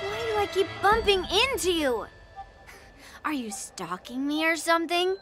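A woman's voice: extremely high pitch, lively intonation, measured pace. A woman voicing a blunt, cheeky young swordswoman in a game, with background music under her lines. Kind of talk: game voice acting.

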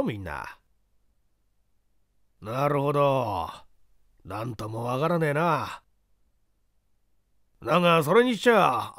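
A man voicing rough rustic construction laborers in a dramatic audiobook reading, with blunt colloquial speech. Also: on the high side, dry recording, clean studio sound. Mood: suspicious, accusing, then musing. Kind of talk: dramatic audiobook character acting.

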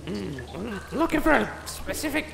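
An actor voicing an excitable, crude gnome for a comedy fantasy cartoon, exclamatory and stammering. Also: mid-range voice, moderate pace, creaky. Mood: eager. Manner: exclamatory, stammering, swooping delivery. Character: excitable foul-mouthed gnome potion-thrower, gleefully crude. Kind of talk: comedic animated fantasy voice acting. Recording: echoey sewer-like reverb with noise.

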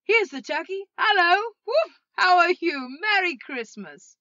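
Woman as old reformed miser, storybook character, exuberant, effusive, forceful, close dry sound. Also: sits high, lively intonation, fast talker, no echo, muffled recording, voice pushed up. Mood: elated. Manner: exclamatory, effusive. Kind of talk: dramatised storybook reading.

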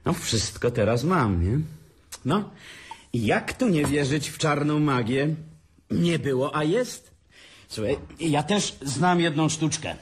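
A man's voice: on the high side, expressive, takes it slowly, a little hiss or hum. An actor playing a cheeky hard-drinking man who jokes and schemes with his brother, in a playful, teasing manner. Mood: mischievous. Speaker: brother, Adam. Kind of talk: amateur radio drama acting.

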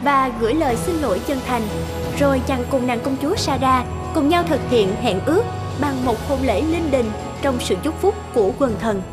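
A woman's voice: high-pitched, moderate pace. A woman narrating a fairy tale from a script as storyteller. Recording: loud background music.

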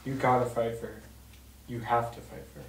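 An earnest, playful teenage boy, acted by a young man in natural drama dialogue. The voice is mid-pitched and sounds distant in a roomy space. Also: level tone, brisk, natural voice. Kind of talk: table-read acting, naturalistic dialogue. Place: home dining room, around a table. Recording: roomy, distant mic, faint noise.

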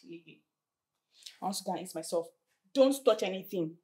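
A woman's voice: fairly low pitch, expressive, a little fast, darkish tone, natural voice. A woman playing a busy, mildly impatient household helper minding a child in a film drama. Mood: placating, impatient.

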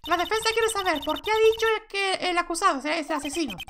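A man voicing a calm, firm female mentor lawyer in a courtroom game, measured and instructive. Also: very high-pitched, noisy background, pitched above the performer's own voice. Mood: urging.

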